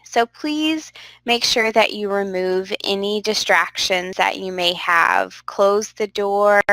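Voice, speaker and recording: A woman's voice: mid-range voice, bright, forceful tone, dry recording, rough recording. A young adult woman teaching a virtual class, upbeat and encouraging, a little stuffy from a cold, talking into a computer microphone. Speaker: instructor. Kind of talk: online class lecture.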